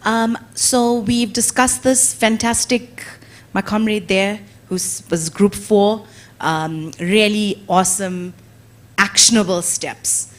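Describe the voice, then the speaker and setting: A woman's voice: mid-range voice, steady tone, slow. A woman asking an enthusiastic question from the floor at a conference. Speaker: conference delegate.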